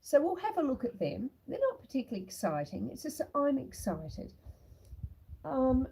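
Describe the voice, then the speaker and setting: Slow-talking homestead woman, slightly husky. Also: a little high, poor audio. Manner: chatty, greets viewers by name warmly. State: snuffly, with a cough from illness. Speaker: homestead livestream host. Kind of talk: casual live-stream chat. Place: outdoors on a rural homestead. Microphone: phone on a tripod.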